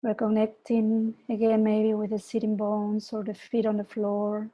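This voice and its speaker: A woman from Peru, a mindfulness teacher, giving an online talk and gently guiding a meditation over a video call. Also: mid-range voice, monotone, muffled recording. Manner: slow, soft guiding voice with gentle invitations. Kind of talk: online presentation and guided meditation.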